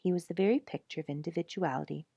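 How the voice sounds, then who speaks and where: A woman reading a storybook aloud as an expressive narrator. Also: fairly low pitch, fast talker, gravelly, husky, dry recording, muffled recording.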